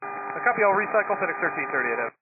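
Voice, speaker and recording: A male pilot speaks in quick, clipped radio phraseology with a courteous, procedural manner. The voice comes through a thin, phone-like aircraft radio buried in heavy noise. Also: sits high, very animated. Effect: aircraft radio transmission. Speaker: airline cargo pilot. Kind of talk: clipped aviation radio calls. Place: aircraft cockpit.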